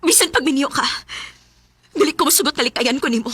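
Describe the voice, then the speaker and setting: A woman's voice: high voice, expressive, quick pace, a bit bright, no room sound. An actress with a Cebuano accent playing a hurt, abandoned young woman in a radio drama, speaking reproachfully and emotionally.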